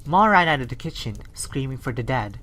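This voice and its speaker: A narrator and newscaster reading a 1930s-style radio story and news report in a steady, scripted way. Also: mid-range voice, fairly quick, slight background noise. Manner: steady, read-from-script delivery.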